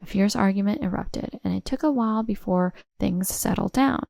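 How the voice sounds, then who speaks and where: A woman narrates a scripted first-person story. Her mid-pitched voice has a creaky edge. The recording is very dry, with no audible room. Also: normal speed, darkish tone, no room sound.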